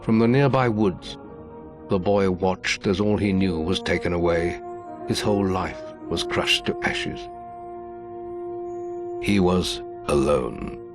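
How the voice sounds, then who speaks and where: A man playing an old guildmaster, a wry mentor and solemn storyteller in a fantasy video game, with deliberate, theatrical delivery. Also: deep voice. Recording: music behind the voice.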